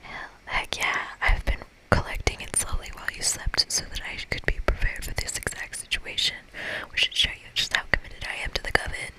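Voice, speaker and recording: An ASMR reader plays an intense, overeager monster girl from a visual novel, desperate to belong. Every word comes in a breathy whisper, pushy and earnest. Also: brisk, natural voice, low-quality recording. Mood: eager, insistent. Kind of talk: visual novel game dialogue read as ASMR.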